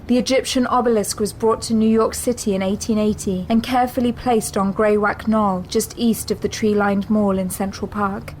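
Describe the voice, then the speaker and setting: A woman giving steady, scripted narration for an audio drama. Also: medium pitch, slight background noise, low-quality recording. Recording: narration over music score.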